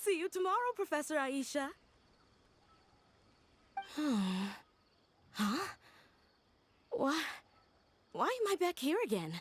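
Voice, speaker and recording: A woman's voice: sits high, sing-song, slow, long pauses, a little hiss or hum, heavily acted. An actress voicing an earnest, wistful woman in a story-driven video game. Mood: confused. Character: earnest, wistful adult woman recalling her childhood tutor. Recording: music behind the voice.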